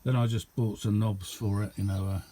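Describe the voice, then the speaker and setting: A man's voice: fairly low pitch, flat delivery, fairly quick, dark tone, slight background noise. A man giving a casual, unscripted show-and-tell as a hobbyist, rambling along in an understated way. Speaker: hobbyist demonstrating a homemade radio.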